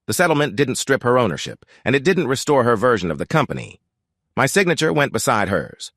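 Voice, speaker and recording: Sober man with lively intonation. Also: mid-range voice, a little fast, dry recording, clean studio sound. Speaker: narrator. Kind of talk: scripted first-person narration.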